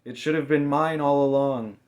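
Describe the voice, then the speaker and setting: A man voicing a furious, menacing young female thief in an audiobook, snapping out forceful threats. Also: on the high side, steady tone, normal speed, pitched above the performer's own voice, natural voice. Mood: enraged. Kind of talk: audiobook character dialogue.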